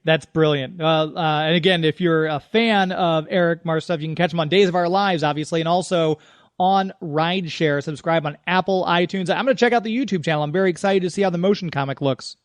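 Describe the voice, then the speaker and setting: High-voiced male radio host, dry sound. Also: fairly quick, dry recording. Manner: friendly, encouraging. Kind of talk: upbeat interview hosting.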